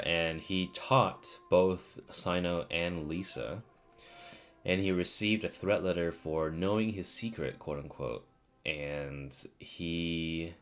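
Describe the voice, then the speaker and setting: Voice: low-pitched, fairly bright tone. A game streamer chatting casually on a livestream in a slangy, irreverent way, swearing freely. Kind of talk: casual livestream gaming chat.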